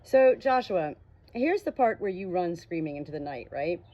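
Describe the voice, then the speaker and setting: A self-conscious teenage ghost girl acted by a woman in an audiobook. She is awkward, wry and hesitant, with a husky edge. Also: on the low side, lively intonation, normal speed, dark tone, lo-fi sound. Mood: wry, nervous.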